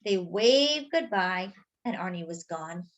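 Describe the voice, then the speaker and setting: Animated woman reading a picture book. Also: on the low side, slow. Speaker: children's storyteller. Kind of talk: animated picture-book read-aloud with asides to young listeners.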